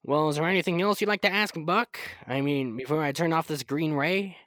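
A young man playing a proud, excitable scientist-inventor in old-time radio serial style, with a fussy, halting, stammering manner. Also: a little high, no room sound, studio quality, natural voice. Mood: pleased, proud. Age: young adult. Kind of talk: 1930s radio serial acting.

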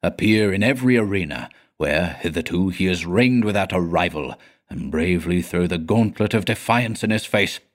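Devoted elderly music master male reader acting, very deep, grave, hushed, gothic storybook. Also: animated, normal speed. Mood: solemn, urging. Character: solemn, devoted old music teacher's farewell letter.

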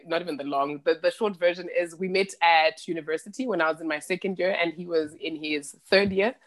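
A woman in her late thirties, raised in Zimbabwe, speaks in a slightly low voice. She talks through her answers thoughtfully, circling back and restarting often in casual conversation. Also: fairly even intonation, fairly quick. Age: 30s. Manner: thoughtful, rambling with restarts and laughs. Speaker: guest. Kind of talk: casual interview chat.

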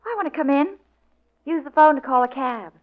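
An actress playing a wry, coquettish young American assistant with an arch, playful manner, in a 1940s radio drama. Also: female voice, high voice, lively intonation, brisk. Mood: coy, wheedling. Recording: muffled vintage radio recording.

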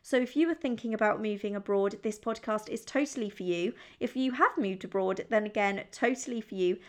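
A woman's voice: mid-range voice, brisk. A young woman from England hosting her own podcast, talking in a chatty, upbeat, confiding way. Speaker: podcast host. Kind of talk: solo podcast chat.

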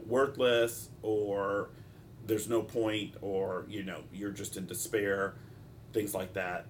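A man with a stopped-up nose hosting a solo podcast in an informal, halting and discursive way, often drifting into asides. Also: lowish voice, a little hiss or hum. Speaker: podcast host, mental health practitioner.

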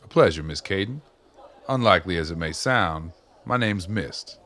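Actor as low-voiced, courtly old gentleman fixer. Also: lively intonation. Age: older. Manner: courtly, folksy, unhurried old-time phrasing. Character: dapper old man, genial, knowing, well-connected fixer. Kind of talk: radio play acting.

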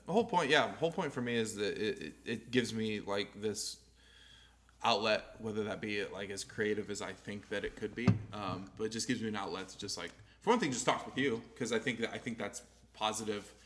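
A man's voice: fairly low pitch, brisk, fairly bright tone. A young man co-hosting a podcast in casual chat, rambling a little, swearing often and laughing easily. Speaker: podcast co-host.

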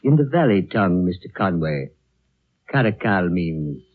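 An actor in a 1930s radio drama playing a courteous, evasive lamasery host, speaking in formal, measured phrases. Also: male voice, sits low, big pitch swings. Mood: serene. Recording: old muffled radio recording, music behind.

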